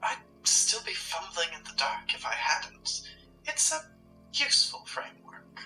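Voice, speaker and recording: Blunt, secretive shopkeeper, female performer, horror roleplay: hard bright edge, curt dry delivery, roomy sound, music underneath. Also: on the low side, very animated, measured pace, heavily acted. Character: blunt, guarded, pragmatic occult shopkeeper with secret plans. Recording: roomy, distant mic with music.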